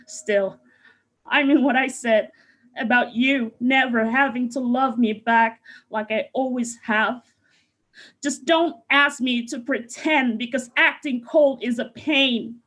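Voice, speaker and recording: A woman recites a spoken-word poem about longing. Her voice is a bit high, and her delivery is soft and yearning. She speaks slowly. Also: steady tone. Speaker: poetry contestant.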